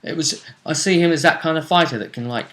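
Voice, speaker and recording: A man's voice: a little high, fast, fairly bright tone. A man, a game master, casually recapping a tabletop role-playing match in a rambling, storytelling way. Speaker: game master and show host.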